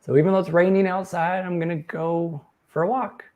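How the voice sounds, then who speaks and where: A man's voice: high voice, fairly even intonation, fairly quick, highs cut off. A man hosting a live question-and-answer chat who is losing his voice, talking in an earnest, coaching way. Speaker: host reading viewer questions.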